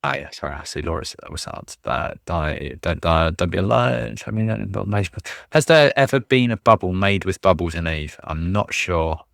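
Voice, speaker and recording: Casting: male fleet commander, very deep gravelly voice, loose rambling Q&A delivery, dry close mic. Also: expressive, moderate pace, fairly bright tone, no echo. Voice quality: low voice. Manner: rambling, many ums and restarts, self-deprecating. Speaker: fleet commander answering questions. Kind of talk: casual livestream Q&A.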